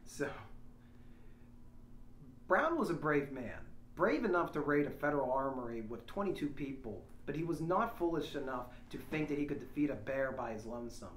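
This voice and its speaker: A man's voice: medium pitch, lively intonation, moderate pace. Man hosting a read-along in an echoey room. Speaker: host of a read-along show. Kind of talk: casual chat and reading aloud from a story. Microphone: small lapel microphone shared by the group. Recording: roomy echo, faint noise, small lapel mic.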